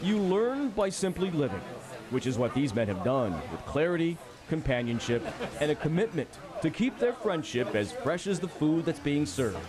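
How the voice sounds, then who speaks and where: Lively, lilting male news reporter. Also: on the high side, moderate pace, a crowd in the background. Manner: lilting, playful delivery with wordplay. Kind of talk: scripted feature narration.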